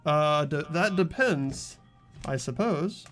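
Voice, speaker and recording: A man's voice: on the high side, animated, slow, fairly bright tone, soft background music. A man hosting a casual group playthrough, talking hesitantly with filler words. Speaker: host running the playthrough. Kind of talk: casual game chat.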